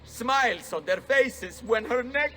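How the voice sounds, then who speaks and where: An anguished, bitter Italian mourner in a video game. His voice is very high for a man and sharp, near tears, with an Italian accent and slow delivery. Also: expressive, a little hiss or hum, heavily acted.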